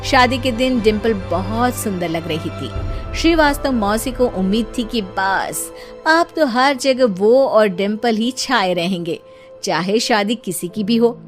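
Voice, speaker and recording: A woman narrating a children's moral story in a lively, playful way. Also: medium pitch, measured pace, soft background music.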